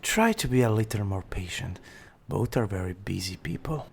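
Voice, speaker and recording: A man playing a loyal, patient mafia right-hand man in an audio roleplay, gravelly and husky, with a dry, teasing delivery. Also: on the low side, lively intonation, moderate pace, heavily acted. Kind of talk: scripted audio roleplay acting.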